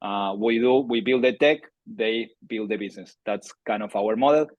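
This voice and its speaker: A man's voice: fairly low pitch, moderate pace, dry recording, lo-fi sound. A man in his thirties from Argentina, with a strong Argentine accent, presenting a webinar in a chatty, filler-filled way. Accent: Argentine Spanish. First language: Spanish. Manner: conversational, many fillers and restarts. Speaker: startup founder presenting a webinar.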